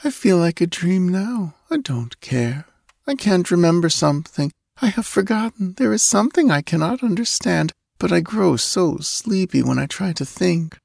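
A man voicing a languid, dreamy, confused young woman in a soft, drowsy murmur for an audiobook story. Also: sits high, sing-song, creaky, no echo, clean studio sound, pitched above the performer's own voice, heavily acted. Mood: sleepy, dreamy. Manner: drowsy, languid, drawn-out yawning delivery. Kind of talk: audiobook character acting.

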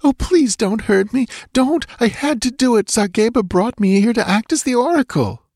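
A man's voice: a little high, normal speed, a little mellow, no echo, clean studio sound, pitched above the performer's own voice. A man voicing a terrified young dancing girl in an audiobook, with a whimpering, pleading, breath-catching delivery. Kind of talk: dramatised audiobook character voice.

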